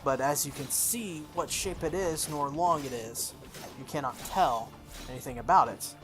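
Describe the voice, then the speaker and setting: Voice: medium pitch, moderate pace. A playthrough host reading visual novel text aloud, with enthusiastic, gushing asides. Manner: enthusiastic, gushing asides between read narration. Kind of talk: reading game text aloud with excited commentary. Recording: background game music. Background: game music.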